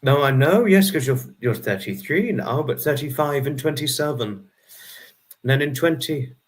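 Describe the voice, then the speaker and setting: A man's voice: medium pitch, lively intonation, moderate pace. A young man in his twenties hosting a casual livestream, chatting and answering viewer comments by name in a rambling, friendly way. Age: 20s. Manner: rambling, restarts number sums aloud. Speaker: livestream host.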